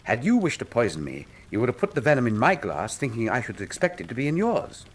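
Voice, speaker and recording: Theatrical, animated English detective. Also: male voice, medium pitch, fast, a little hiss or hum. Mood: sardonic. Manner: theatrical, precise, formal diction. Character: shrewd, imperious, wryly witty English male detective. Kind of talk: full-cast radio drama acting.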